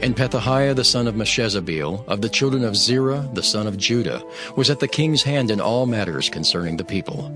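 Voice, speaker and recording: A man with a fairly low voice reads scripture as a narrator. His delivery is solemn and measured, in the formal style of a dramatised audio Bible. Music plays clearly behind him. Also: animated. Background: background music.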